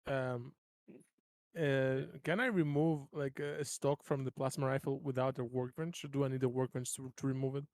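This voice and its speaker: A man's voice: mid-range voice, flat delivery, moderate pace, darkish tone, no room sound. A man chatting casually as a player in a tabletop roleplaying game, commenting and checking rules.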